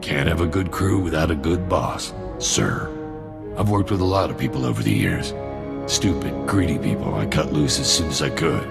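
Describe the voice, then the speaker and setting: An actor playing a cynical, greedy mercenary soldier and arms dealer in a video game, with a gravelly voice and a wry, laid-back manner. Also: male voice, lively intonation, normal speed, loud music behind the voice, full character voice. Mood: pleased, confiding. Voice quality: very deep, gravelly. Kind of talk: video game cutscene dialogue.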